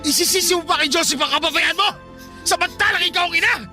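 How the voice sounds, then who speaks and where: A grieving, furious father in a radio melodrama. His voice is pitched extremely high and rings very bright and forceful. He delivers everything with anguish and accusation, over faint music. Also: fast, exaggerated voice. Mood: furious, accusing. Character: grieving, furious working-class father blaming his wife. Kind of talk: melodramatic radio drama acting.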